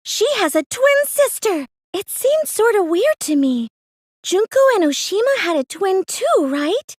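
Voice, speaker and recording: A very high, girlish anime game voice for a plain, earnest teenage girl, recorded close and dry. She comes across as meek and innocent, with a schemer hidden underneath. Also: sing-song, measured pace, no echo, heavily acted. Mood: excited, speculating. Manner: hesitant and stammering when pressed, earnest, girlish. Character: meek, plain teenage girl cosplayer, secretly a gleeful mastermind. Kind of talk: anime video game dub acting.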